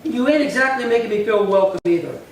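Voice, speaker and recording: A man playing a gruff, evasive small-time crook with slangy, grudging answers in a 1950s-style radio crime drama. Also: extremely high pitch, lively intonation, fast talker. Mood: defensive. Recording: very echoey room, distant mic, faint noise.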